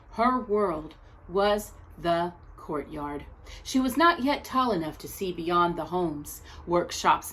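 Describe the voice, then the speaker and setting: A woman reading a children's picture book aloud as a storyteller, with expressive narration and deliberate, dramatic pauses between short phrases. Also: fairly low pitch, gravelly, breathy edge, low-quality recording.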